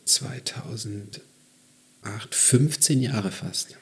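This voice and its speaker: A man with a southern German accent, a comedian guest in casual chat, relaxed and dry with short answers. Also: mid-range voice, very slow.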